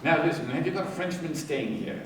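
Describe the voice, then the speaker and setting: An actor playing a commanding police inspector in a radio play, brisk and authoritative. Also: male voice, fairly high pitch, a bit bright, close to an everyday voice. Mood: brisk, businesslike. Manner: authoritative, brusque. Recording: echoey room, distant mic, faint noise.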